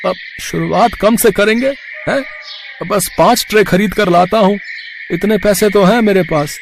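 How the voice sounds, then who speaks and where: A man voicing a gentle, hopeful village husband and father in a cartoon moral story. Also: high-pitched, a little slow, creaky, noisy background. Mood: encouraging, hopeful.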